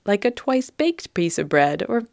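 A woman's voice: medium pitch, big pitch swings, normal speed, gravelly, a little mellow, dry recording, studio quality. Wry British woman podcast host. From United Kingdom. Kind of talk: scripted podcast narration.

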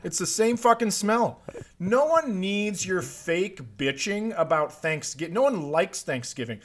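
A man's voice: high voice, animated, measured pace. A man ranting casually for laughs, sarcastic, profane and irritated. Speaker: comedy podcast host.

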